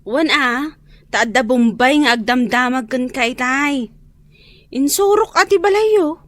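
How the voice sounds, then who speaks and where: Casting: gossipy young female best friend, radio drama, high voice, dry studio sound. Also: takes it slowly, a bit bright, no echo. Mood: gossipy, urgent. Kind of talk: radio drama acting in Ilocano and Tagalog.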